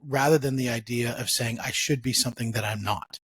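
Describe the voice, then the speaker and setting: Husky-voiced male host, dry sound. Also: medium pitch, fairly even intonation, a little fast, a bit bright, no echo. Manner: affirming, thinks aloud with restarts and comparisons. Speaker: podcast host. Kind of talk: conversational interview.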